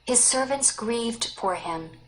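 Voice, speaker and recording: A woman reading a story aloud in an expressive, deliberate way. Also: on the high side, slight background noise, poor audio. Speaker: story narrator. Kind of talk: scripted read-aloud storytelling.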